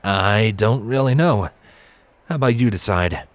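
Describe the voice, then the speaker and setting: A man voicing a gentle, bookish young male pony who is awkward and reassuring, in a storybook reading. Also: fairly low pitch, expressive, unhurried, no echo. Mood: hesitant.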